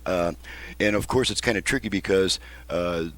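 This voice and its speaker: Low-voiced man giving a repair demo. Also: moderate pace, fairly bright tone. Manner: plain-spoken, methodical step-by-step explaining. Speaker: radio repair technician, video host. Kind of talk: casual hands-on demonstration.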